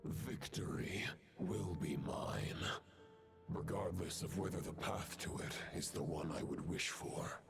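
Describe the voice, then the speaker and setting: A man voicing a grim, proud fallen knight in a video game, speaking in formal, solemn declamations with a gravelly voice. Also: sits low, a little slow. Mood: solemn, resolute. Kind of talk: dramatic video game voice acting. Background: loud music.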